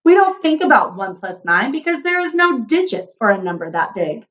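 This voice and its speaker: A woman's voice: a little high, very animated, moderate pace, a bit bright, lo-fi sound. A woman voicing a jovial, easily flabbergasted king in a children's storybook, full of exclamations and proclamations. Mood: surprised, pronouncing.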